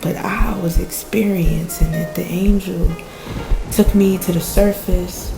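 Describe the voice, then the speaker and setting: Slow, echoey woman acting a shaken fisher storyteller. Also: on the low side, steady tone, gravelly. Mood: reflective, awed. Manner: halting, dramatic storytelling with pauses and repetition. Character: shaken spiritual fisher recounting a near-death angel encounter. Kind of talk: radio play monologue. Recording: roomy echo with music underneath.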